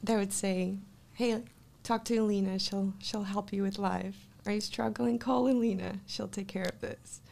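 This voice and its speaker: A woman's voice: mid-range voice, fairly even intonation, moderate pace, gravelly, darkish tone. A woman from Russia with a Russian accent, telling her personal story as a guest in a casual interview, close on a studio microphone. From Russia, born in Kazakhstan. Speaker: life coach, podcast guest. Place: small podcast studio, indoors. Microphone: close studio microphone, headphones.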